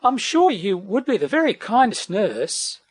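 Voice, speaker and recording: A man's voice: sits high, big pitch swings, a little slow, breathy edge, dry recording, pitched above the performer's own voice, exaggerated voice. A man voicing an anxious, motherly female koala in a storybook, fretful and protective. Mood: kindly.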